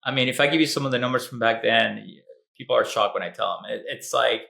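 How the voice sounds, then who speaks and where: A man's voice: mid-range voice, quick pace, creaky. A man from Venezuela who grew up speaking Spanish and Italian, chatting casually as an advertising expert guest. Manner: relaxed, chatty, frequent fillers. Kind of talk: casual interview chat.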